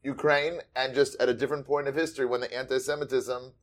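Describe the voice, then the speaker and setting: A man interviewing a guest, enthusiastic and animated as he tells a story. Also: medium pitch, fast. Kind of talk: conversational interview.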